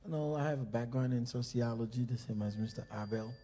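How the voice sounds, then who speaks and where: A man's voice: mid-range voice, fast talker, warm tone. A man, vice president of a ministry, introducing himself on a church panel in a cordial, slightly hesitant way.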